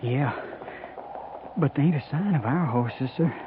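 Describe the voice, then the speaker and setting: An actor playing a young, loyal, anxious frontier soldier with a rural American accent, deferential and folksy, in a radio western. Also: male voice, mid-range voice, measured pace, close to an everyday voice. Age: young adult. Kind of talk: 1950s radio western acting. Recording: very noisy, tinny 1950s radio recording.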